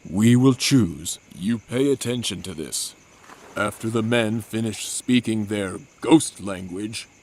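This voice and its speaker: A man giving a deadpan, mock-solemn dramatic reading of a comic adventure story. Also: fairly low pitch, a little hiss or hum. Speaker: narrator.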